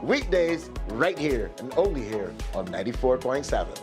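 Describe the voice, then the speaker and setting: A man with a Barbadian accent pitches his radio show in a playful, energetic voice. His pitch sits mid-range, with music clearly behind him. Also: normal speed. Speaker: radio host in a promo.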